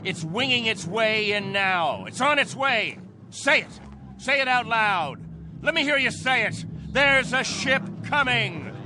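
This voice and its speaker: An actor playing a stern, fervent captain of a space colony in a radio drama, with an oratorical, authoritative delivery. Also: male voice, extremely high pitch, expressive, measured pace, background noise. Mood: rousing fervour.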